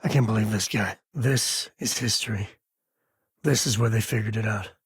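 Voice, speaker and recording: A man's voice: on the low side, normal speed, slightly rough, no echo, studio quality. An actor playing an easygoing, wry space-frontier ranger and protective father in a video game, with folksy, informal speech. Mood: earnest, dry.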